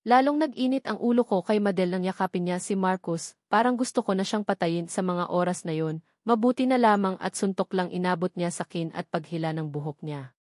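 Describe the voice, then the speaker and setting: A woman's voice: fairly low pitch, brisk, darkish tone, dry recording, studio quality. A woman reading a first-person romance novel as a scripted story narrator. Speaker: audiobook narrator.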